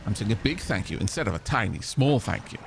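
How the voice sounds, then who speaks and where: A man's voice: on the low side, big pitch swings, quick pace, gravelly, a little hiss or hum. Wry man streaming a game. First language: Welsh. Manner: chatty, wry, talks to chat. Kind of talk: casual gameplay commentary.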